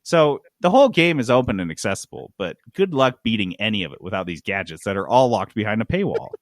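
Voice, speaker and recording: A man's voice: lowish voice, sing-song, quick pace, dry recording, studio quality. A man hosting a gaming podcast, riffing sarcastically in casual, comedic chat. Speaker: podcast host.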